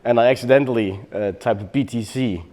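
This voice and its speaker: A middle-aged man from Bosnia giving a technical conference talk on developer tooling, relaxed and explanatory, with frequent fillers and self-corrections. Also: mid-range voice, a little fast. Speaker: software developer presenting.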